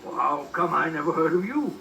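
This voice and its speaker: An irritable, blustering shopkeeper in vintage radio comedy. His voice is high and piercing, jumping about excitably. It sits a little far off on an old recording. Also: brisk, gravelly, a little hiss or hum, exaggerated voice. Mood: incredulous. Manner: blustering, exasperated. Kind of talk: 1940s radio comedy acting.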